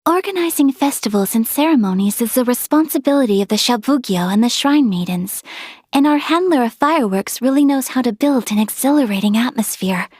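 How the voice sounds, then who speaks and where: A young woman playing a refined, gracious noblewoman in a video game, speaking in formal, politely measured diction. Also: a little high, expressive, darkish tone, husky, no room sound, clean studio sound. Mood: earnest, gracious. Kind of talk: video game character voice lines.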